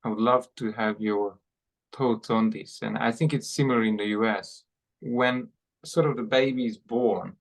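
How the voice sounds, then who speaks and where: A man's voice: mid-range voice, steady tone, gravelly, low-quality recording. A man hosting an interview, asking long questions and often repeating words as he searches for them. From Hungary. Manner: halting, repeats words while forming questions. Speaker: podcast host.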